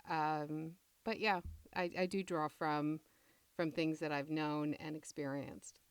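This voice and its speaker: Low, clear-voiced woman playwright. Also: steady tone, slow, no room sound. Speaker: playwright being interviewed. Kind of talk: reflective interview talk.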